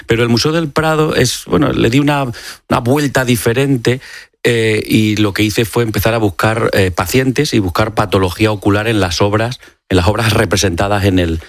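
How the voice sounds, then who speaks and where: Low-voiced Spanish man explaining as an expert. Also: no room sound. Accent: Spanish from Spain. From Extremadura, Spain. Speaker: interview guest, doctor and ophthalmology professor. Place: radio studio.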